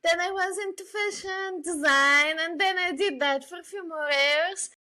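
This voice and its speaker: A woman from Bulgaria speaks as a guest in a relaxed interview. Her voice sits extremely high and her pace is slow. She answers in a measured, thoughtful way. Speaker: podcast guest, illustrator and digital artist. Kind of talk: casual interview chat.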